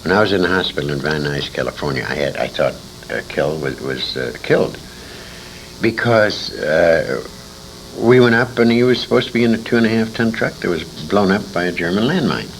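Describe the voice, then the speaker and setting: Deep-voiced older American man, animated storyteller. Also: fairly quick, creaky. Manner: salty, emphatic, repeats phrases for effect. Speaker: interviewee, war veteran and old-time radio performer. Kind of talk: animated anecdotal storytelling in casual conversation. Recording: noisy informal interview recording.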